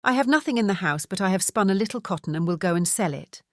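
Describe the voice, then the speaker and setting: A woman playing a poor, anxious mother with expressive, old-fashioned phrasing in a fairy-tale audiobook. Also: mid-range voice, fast, dry recording, clean studio sound, close to an everyday voice. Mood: sorrowful.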